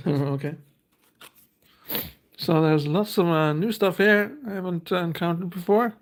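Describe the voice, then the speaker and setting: A man's voice: high-pitched, a little slow. A man, hosting a casual unboxing and reading card text aloud in a hesitant, thinking-aloud way. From Norway. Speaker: host.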